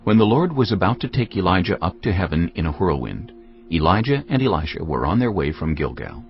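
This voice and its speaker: Very deep, gravelly male narrator. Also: sing-song, brisk. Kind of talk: scripted dramatic scripture narration. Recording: dull lo-fi sound, music underneath. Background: faint music.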